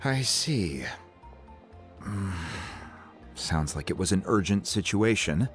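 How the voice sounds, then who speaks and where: A protective, fatherly video game mentor. His voice is very low and his delivery measured and reassuring. Faint music plays underneath. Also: lively intonation. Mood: calm, reassuring. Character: calm, protective, fatherly older man, a mentor. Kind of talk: dramatic video game dialogue.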